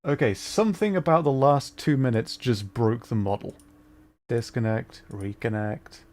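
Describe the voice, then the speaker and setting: A man's voice: medium pitch, measured pace, pauses often, a little mellow. A man hosting a casual gaming livestream, chatting in a flustered, apologetic and self-deprecating way. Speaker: livestream host playing a video game. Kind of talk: casual livestream chat while troubleshooting.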